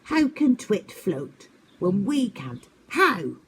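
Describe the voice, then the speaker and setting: A woman's voice: mid-range voice, big pitch swings, takes it slowly, slight background noise, voice pushed up. A woman voicing vain, cross male bully owls in a storybook, petulant and indignant.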